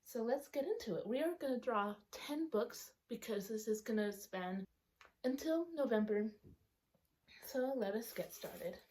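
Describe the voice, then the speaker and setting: A woman's voice: fairly high pitch, lively intonation, unhurried, darkish tone, poor audio. A young woman chatting casually in a book vlog, rambling and correcting herself with lots of ums, her speech a little altered by the retainer she is wearing. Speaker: book vlogger. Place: outdoors or by a sunny window at home.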